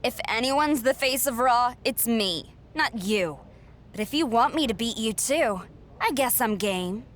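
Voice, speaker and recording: A defiant wrestling champion. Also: female voice, on the high side, expressive, a little slow, a little hiss or hum. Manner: earnest, defiant. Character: determined young female wrestling champion. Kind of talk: video game cutscene dialogue.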